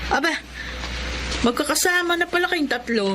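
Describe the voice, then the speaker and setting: A woman with a Filipino accent playing a hardworking, loving mother in a radio drama, warm, polite and gently scolding. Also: sits high, normal speed, background noise, natural voice. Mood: motherly, teasing. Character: hardworking, loving mother, poor manicurist and barbecue vendor. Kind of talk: Filipino radio drama acting with first-person narration.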